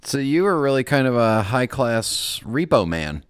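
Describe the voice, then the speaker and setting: A man's voice: medium pitch, big pitch swings, unhurried, clean tone, dry recording, studio quality. A man hosting a podcast interview in casual chat, joking and chuckling at his own quips. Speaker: podcast host.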